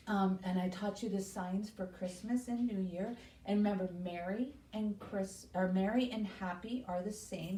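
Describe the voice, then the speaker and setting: A woman hosts a story time for young children at an unhurried pace. She speaks in simple, encouraging instructions and questions. Her voice comes from a little way off the microphone in an echoey room. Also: on the high side, warm tone, slight background noise. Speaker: library story time host. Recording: roomy echo, distant microphone.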